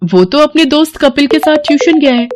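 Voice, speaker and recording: A woman playing a concerned, gently advising mother in a children's moral story. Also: high-pitched, animated, fairly quick, over music, voice pushed up. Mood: worried.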